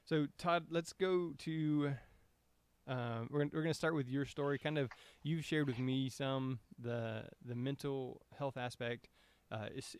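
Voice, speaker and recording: A man's voice: medium pitch, clean tone. A male host guides a podcast interview in a casual way. He phrases his questions loosely and conversationally, handing the floor from one guest to the other.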